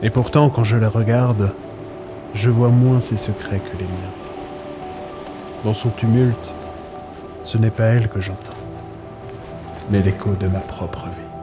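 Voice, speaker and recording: A man's voice: on the low side, fairly even intonation, measured pace, pauses often, music in the background, lightly acted. A man playing a melancholy old sailor worn by storms, speaking in a brooding, poetic way in a French audio drama. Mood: melancholy, reflective.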